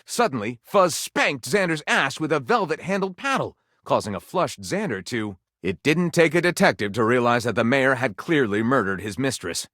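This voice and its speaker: A man's voice: mid-range voice, expressive, moderate pace, hardly any pauses, a bit bright, no room sound, clean studio sound. A man voicing a melodramatic game storyteller, narrating absurd pulp detective tales with theatrical, mock-serious drama. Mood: breathless drama. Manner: dramatic, mock-serious delivery with big swings.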